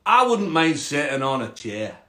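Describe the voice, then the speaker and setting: A man's voice: fairly high pitch, sing-song, moderate pace, sharp tone. A man voicing a reluctant, complaining storybook frog with a Scottish accent and a plaintive, questioning manner. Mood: reluctant, pleading.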